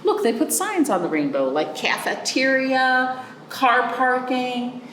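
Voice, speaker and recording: Storybook little girl, played by a woman: wide-eyed and earnest, very lively pitch, drawn-out emotive delivery. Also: exaggerated voice. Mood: despair, indignant. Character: young storybook girl, wonder-struck and earnest about her rainbow. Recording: roomy echo, faint background noise.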